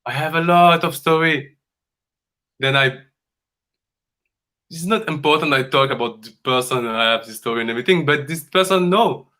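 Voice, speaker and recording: A man from Congo, speaking as a dance coach in earnest, halting English and repeating phrases for emphasis. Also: a little high, pushed and bright. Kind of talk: conversational coaching talk.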